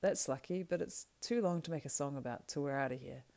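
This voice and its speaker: A woman's voice: low voice, brisk, gravelly, dark tone. A woman voicing a loyal, practical male hobbit servant from a storybook, deferential and homely in manner. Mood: matter-of-fact. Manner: deferential, homely rustic phrasing.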